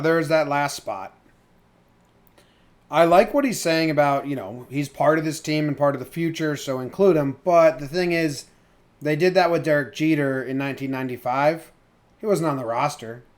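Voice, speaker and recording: A man with a slightly high voice talks sports as a podcast co-host. His delivery is casual and colloquial, with loose swearing thrown in, like chatting with friends.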